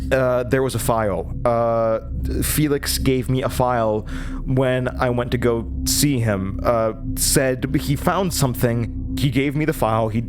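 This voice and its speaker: Man acting a groggy, slow, stammering radio host. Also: medium pitch, lightly acted. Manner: hesitant, stammering, throat-clearing, dazed. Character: groggy, confused, apologetic male radio host haunted by his past. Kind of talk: naturalistic horror audio drama acting.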